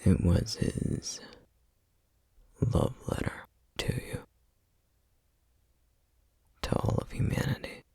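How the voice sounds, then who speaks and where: A man playing a solemn, weary, duty-bound flame demon in an ASMR roleplay, whispering breathily and haltingly. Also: warm tone, no room sound, close to an everyday voice. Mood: somber, tender. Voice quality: breathy, husky whisper with gravelly edges. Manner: slow, halting, measured delivery with heavy pauses. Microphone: close microphone, dry sound.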